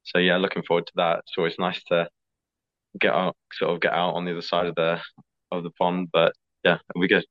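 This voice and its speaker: A young man, an athlete answering interview questions in a relaxed, chatty way. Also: deep voice, a little fast. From England. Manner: relaxed, chatty, fillers like "like" and "sort of". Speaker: skeleton athlete. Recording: slightly dull lo-fi audio.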